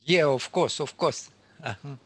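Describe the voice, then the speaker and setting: Halting non-native male interviewer, slow delivery. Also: on the high side, breathy edge. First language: Turkish. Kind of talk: casual video interview.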